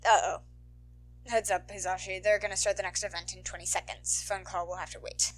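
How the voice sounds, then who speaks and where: A weary, dry-humored man from a storybook, put on by a woman reader. The voice drops below her natural pitch. He is terse, muted and low-key. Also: level tone, a little fast, natural voice, rough recording. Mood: dry, unhurried. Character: dry, weary, low-key adult male teacher hero.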